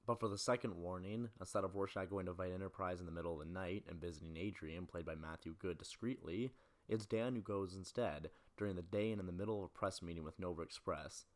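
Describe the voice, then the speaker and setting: Video essayist: young male, deep-pitched, brisk scripted narration. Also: slight background noise. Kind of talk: scripted film-analysis narration.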